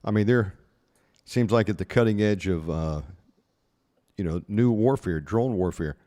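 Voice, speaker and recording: Man, podcast host, lowish voice, conversational questions, lingering reverb. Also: a little slow, dark tone. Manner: conversational, asks questions, reacts with interjections. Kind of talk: casual podcast interview.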